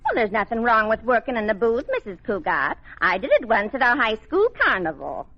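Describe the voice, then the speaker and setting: High, lively, sassy radio housemaid. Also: female voice, brisk. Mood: homely, wry. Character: dry, loyal, sassy household maid. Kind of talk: 1950s radio sitcom acting before a live audience. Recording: muffled noisy old radio recording, loud audience.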